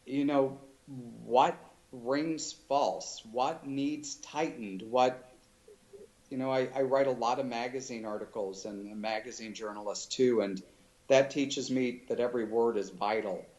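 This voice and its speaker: A man being interviewed as an author, talking in a thoughtful, halting way with many restarts. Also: mid-range voice, darkish tone, low-quality recording. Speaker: author guest. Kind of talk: casual interview chat.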